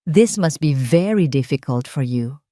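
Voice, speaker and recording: A woman voicing a sweetly condescending rich young woman in an audio story, gentle and sugary, mixing English into Tagalog. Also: low-pitched, lively intonation, a little slow, dry recording, clean studio sound. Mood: false sympathy.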